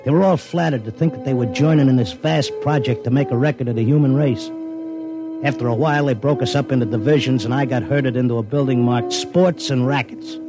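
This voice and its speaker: A streetwise, cynical racetrack hustler, played by a male actor in an old radio drama. His mid-pitched voice is blunt and wry, full of slangy swagger, with music playing underneath. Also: steady tone, a little fast, close to an everyday voice. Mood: wry, narrating. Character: streetwise, cynical racetrack wise guy devoted to his wife. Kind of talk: classic radio drama acting and narration.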